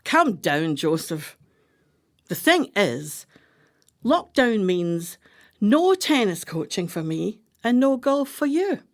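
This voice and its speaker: A woman's voice: mid-range voice, sing-song, slow pace. A woman playing a scheming, imperious, artistic retired wife in a radio play, with dramatic, emphatic delivery. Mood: exasperated, coaxing.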